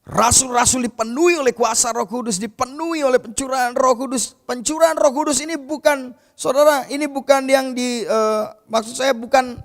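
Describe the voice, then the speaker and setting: Guy preaching pretty high-pitched, chatty and informal, keeps calling the congregation brother. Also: normal speed. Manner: addresses listeners as "saudara", retells scripture with quoted voices; conversational and informal, with casual asides. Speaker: pastor. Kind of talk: sermon.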